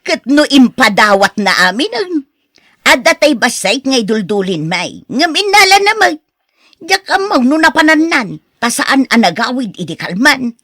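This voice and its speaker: An actress plays an exasperated Ilocano wife in a radio drama, her voice fairly high and sharply forceful. She nags and reproaches in an Ilocano accent, recorded close and dry. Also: big pitch swings, normal speed, dry recording, exaggerated voice. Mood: annoyed. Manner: nagging, reproachful. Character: scolding, exasperated wife of a gambler.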